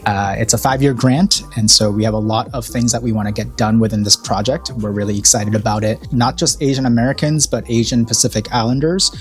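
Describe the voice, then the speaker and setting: Earnest low-voiced program director, faint music. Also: male voice, fairly even intonation, brisk, few pauses. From Korea. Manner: earnest, reflective, many fillers. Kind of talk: interview talking about an event.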